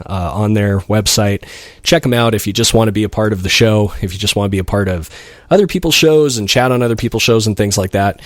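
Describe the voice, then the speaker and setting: Male radio DJ, middle-aged, lower register, dry close sound, loose ad-libbed patter with wry humor. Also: no echo. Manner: wry, joking. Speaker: radio DJ and host. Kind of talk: casual ad-libbed radio chat.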